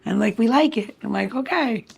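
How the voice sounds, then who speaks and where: A woman's voice: a little high, brisk, vocal fry, husky. Chatty, emphatic woman co-hosting. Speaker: podcast co-host. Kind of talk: casual chat.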